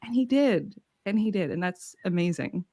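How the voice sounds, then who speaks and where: A woman from Houston is a guest on a podcast and talks with real enthusiasm as she tells stories. Her voice is mid-pitched and recorded close and dry. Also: lively intonation, normal speed, mellow, no room sound. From Houston, Texas. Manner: enthusiastic, storytelling. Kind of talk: casual podcast chat.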